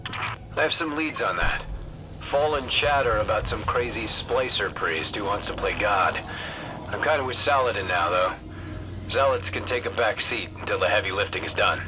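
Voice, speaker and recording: An actor playing a pragmatic soldier ally in a video game, with a casual, sardonic delivery. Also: male voice, fairly low pitch, normal speed, over music, natural voice, low-quality recording. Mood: wry, pragmatic. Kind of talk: cinematic video game voice acting.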